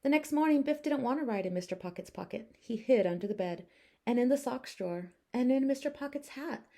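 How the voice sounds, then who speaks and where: A woman teacher narrating a children's storybook aloud with expressive, deliberate phrasing. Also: fairly high pitch.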